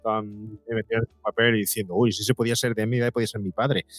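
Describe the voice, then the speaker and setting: A man's voice: fairly low pitch, level tone, fast, dry recording, soft background music. Middle-aged man from Spain, close dry sound. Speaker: podcast guest, psychologist and podcaster. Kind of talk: conversational interview answers.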